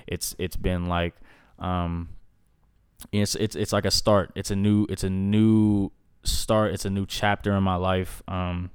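A man in his twenties with a deep baritone voice, a guest chatting casually in an interview, rambling with many fillers. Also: flat delivery, measured pace, clean tone, no echo, studio quality. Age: 20s. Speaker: guest, recording artist.

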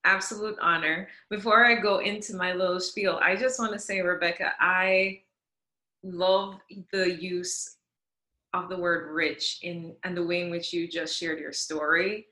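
A woman from Jamaica, a guest speaking with expressive enthusiasm in an interview. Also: fairly low pitch, a little slow, echoey room. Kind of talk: interview storytelling.

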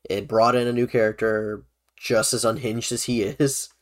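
A man's voice: fairly low pitch, flat delivery, normal speed. A young man hosting a podcast, chatting casually about films. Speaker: podcast host.

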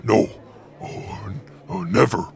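A man playing a big, timid, socially awkward man-made creature who stammers haltingly in a deep, gravelly voice. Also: a little mellow, heavily acted. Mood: nervous, evasive.

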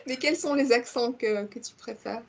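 A woman co-hosting a podcast, telling a story in a lively, chatty way with many fillers. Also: on the high side, normal speed, vocal fry, faint music behind. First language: multilingual, grew up in Congo and Belgium. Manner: animated storyteller, frequent fillers, laughs easily. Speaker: podcast co-host. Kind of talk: casual chat, telling an anecdote.

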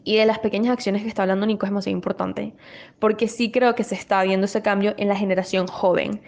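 A young woman from Venezuela, talking in an earnest, animated way in a casual discussion, with quick restarts. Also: mid-range voice, vocal fry.

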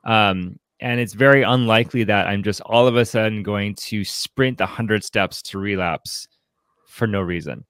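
A man co-hosting a conversational book commentary, speaking in a halting, reflective way that often repeats words. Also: on the low side, fairly bright tone, clear, resonant tone, no echo, studio quality. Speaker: podcast co-host leading a book commentary.

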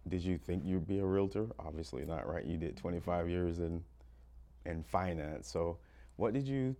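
A man's voice: deep voice, normal speed, dark tone. A man hosting an interview, asking questions in a casual, conversational way. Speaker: podcast host, interviewer.

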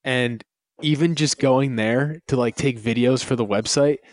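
A man hosting a casual podcast interview, talking in a relaxed, filler-heavy way. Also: mid-range voice, unhurried, no room sound. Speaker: interviewer host.

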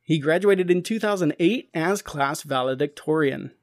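A man's voice: fairly high pitch, normal speed. A man hosting a podcast, reading a scripted introduction in an earnest, formal way. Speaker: podcast host and interviewer. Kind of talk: scripted introduction read aloud.

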